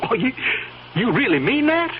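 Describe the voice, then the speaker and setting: An actor playing a grateful, folksy old American man in a radio drama, with a halting drawl. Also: very high-pitched, sing-song. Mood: awed, grateful. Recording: muffled old radio broadcast, faint noise.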